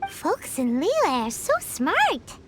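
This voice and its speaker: A woman voicing a small, childlike, excitable floating mascot in a video game, squeaky and wide-eyed. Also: slow, background noise, heavily acted. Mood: eager, cheerful. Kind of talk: video game character acting. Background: music.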